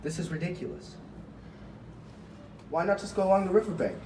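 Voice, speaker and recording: A man's voice: high voice, sing-song, fairly quick, warm tone, voice pushed up. A man voicing a brave, hard-headed rabbit in an amateur puppet show, blunt and impatient. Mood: indignant, urgent. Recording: echoey, noisy room, far from mic.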